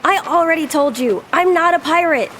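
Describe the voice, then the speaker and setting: High-voiced, earnest young boy. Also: normal speed, a bit bright, slight background noise. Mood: unimpressed. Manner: plain-spoken, questioning. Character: young boy deckhand, sensible, earnest and stubborn. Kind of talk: scripted audio adventure acting.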